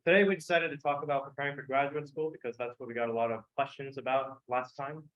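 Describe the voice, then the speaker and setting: A young man leading a student club meeting, quick and offhand as he welcomes everyone. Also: mid-range voice, steady tone. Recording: dull mic sound.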